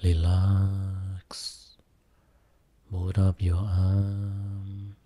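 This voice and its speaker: A man guiding a Buddhist meditation as a teacher, speaking soothingly in short phrases with long pauses between them. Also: sits low, completely flat delivery, mellow. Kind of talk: guided meditation.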